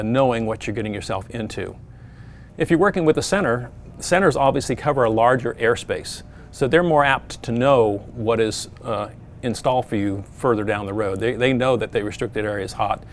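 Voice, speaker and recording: A man's voice: medium pitch, slight background noise. Veteran controller man, plain practical advice. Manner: plain, practical, frequent fillers. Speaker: air traffic controller. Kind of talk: explaining procedures to pilots.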